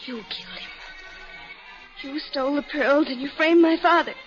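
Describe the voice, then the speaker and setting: A muffled old radio recording with music features an actress as a young woman in distress. Her voice is extremely high, urgent and melodramatic, frightened yet defiant. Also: normal speed, close to an everyday voice. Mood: shocked, accusing. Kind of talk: old-time radio drama acting.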